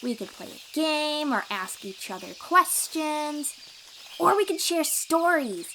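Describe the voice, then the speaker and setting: A woman playing a cheerful, boastful young sea-dwelling warrior in an audio roleplay, eager and expressive as she talks to the listener. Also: high-pitched, takes it slowly, slight background noise, exaggerated voice, poor audio. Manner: eager, bragging, reassuring, expressive storyteller.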